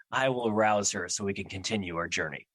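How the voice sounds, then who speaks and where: A man's voice: on the low side, normal speed, fairly bright tone, no room sound, rough recording. A man playing a gloomy, self-doubting embodiment of fear in an audio drama, speaking with formal, solemn, theatrical phrasing. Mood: formal, urgent.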